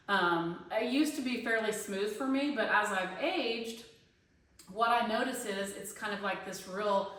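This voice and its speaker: A woman in her fifties with a bright voice, talking through her thoughts in a loose, rambling way full of "um" and "you know". She sits away from the mic in a very echoey room, so her voice sounds hollow and reflected. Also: medium pitch, distant mic. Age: 50s. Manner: conversational, lots of fillers and restarts, thinking aloud. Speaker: online voice pedagogy student and singing teacher. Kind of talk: casual spoken class discussion post.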